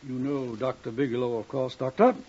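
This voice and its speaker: An actor playing a polite, formal doctor leading a confrontation, in 1950s radio drama style. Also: male voice, medium pitch, fairly even intonation, fairly quick, darkish tone, slightly rough, natural voice. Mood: politely grave. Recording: muffled old radio recording, faint noise.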